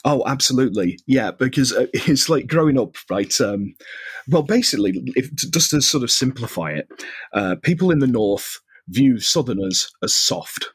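A man's voice: mid-range voice, animated, normal speed, husky, no echo. A man with a Mancunian accent, a podcast guest chatting casually with lots of fillers, restarts and laughs. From northwest England. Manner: rambling, laughs often, many fillers and restarts. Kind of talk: casual chat.

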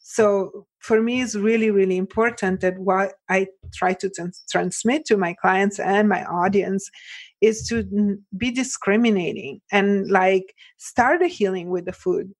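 A middle-aged woman with an Italian accent, a nutrition practitioner speaking earnestly as a guest in an interview, full of "like" and "you know" and quick restarts. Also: medium pitch, steady tone, no echo. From Italy. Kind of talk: podcast interview.

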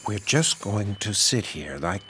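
Slow, deep-voiced pious pastor. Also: male voice, lively intonation, fairly bright tone, a little hiss or hum. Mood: calm, paternal. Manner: measured, preachy, paternal. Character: solemn, slow-talking pious small-town male pastor. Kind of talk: audio drama acting.

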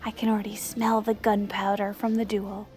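An actress playing an eager, mischievous, gossipy aide in a comedic audio drama, with an excitable, theatrical manner. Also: female voice, mid-range voice, moderate pace, natural voice. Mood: gleeful.

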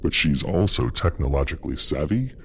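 An actor playing a sly, menacing, all-knowing narrator of a horror audio drama, with a gravelly voice and a deliberate, insinuating delivery. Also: male voice, lively intonation, fairly bright tone, a little hiss or hum, heavily acted. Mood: measured, ominous. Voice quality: very deep, gravelly. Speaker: in-character host recapping a horror audio drama. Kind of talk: scripted horror podcast narration.

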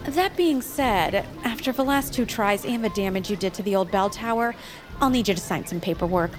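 A woman's voice: mid-range voice, moderate pace, soft background music, lightly acted. A woman playing a dry, officious small-town official creature, bureaucratic and matter-of-fact, in cartoon audio fiction.

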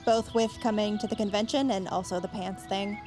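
A woman's voice: mid-range voice, steady tone, brisk, over music, lightly acted. An actress playing an eager, chatty young woman who rallies her friends, in naturalistic audio fiction. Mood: eager, persuasive. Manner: hesitant rambling turning to pushy pep talk.

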